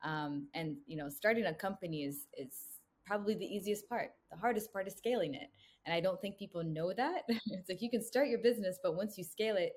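A woman's voice: mid-range voice, fairly quick, a little mellow. A young woman from the United States, a brand co-founder talking earnestly in a casual interview, with frequent "you know" fillers. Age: young adult.

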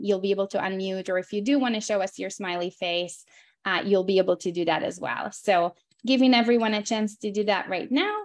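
Woman moderating an online class. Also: fairly high pitch.

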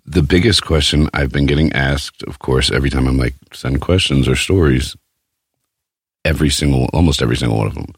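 A man's voice: extremely low pitch, fairly quick, vocal fry, slightly rough, no echo, clean studio sound. A man hosting a casual podcast chat, talking in a brash, crude, joking way. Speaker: podcast host.

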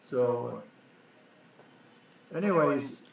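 A man's voice: medium pitch, expressive, extremely slow, vocal fry, very echoey, far from the mic. A man in his nineties, a guest being interviewed, talking insistently and repeating himself, often stumbling over his words. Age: 90s. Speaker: guest, sprout grower inventor. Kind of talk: casual interview.

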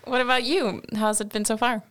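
A woman's voice: a little high, fairly even intonation, fairly quick, a bit bright, smooth. A young woman hosting a student podcast, asking questions and laughing along with guests. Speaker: podcast host.

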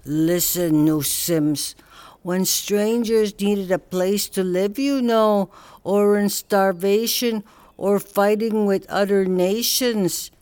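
An older woman playing a wise grandmother elder who speaks slowly and gently, telling teachings with frequent "you know". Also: lowish voice, clean tone. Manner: slow, gentle storytelling, many "you know". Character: wise Cree grandmother elder, calm and patient.

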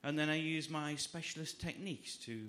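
A man delivering a stand-up monologue in a deliberate, mock-serious way. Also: a little high, a bit bright. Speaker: stand-up comedian. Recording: noisy live room.

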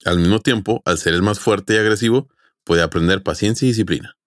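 A deep-voiced man with a Mexican accent explains things as a podcast co-host. His delivery is casual and slangy with some teasing, and he is recorded dry and close. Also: fast, dry recording. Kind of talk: casual storytelling.

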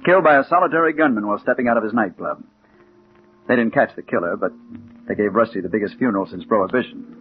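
An actor playing a wary, wisecracking newspaper reporter in a clipped, wry, hard-boiled 1940s radio drama style. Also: male voice, medium pitch, close to an everyday voice. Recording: tinny, noisy 1940s radio recording.

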